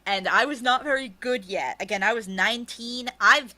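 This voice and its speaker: A woman's voice: a little high, normal speed, sharp tone. A woman being interviewed, telling personal stories casually and bluntly in short, emphatic, repeated phrases. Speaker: podcast producer and writer being interviewed.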